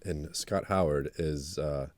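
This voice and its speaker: A man's voice: a bass voice, slow, a little mellow, dry recording. A man co-hosting casually, interjecting with teasing prompts and directions to his partner. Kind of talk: casual banter.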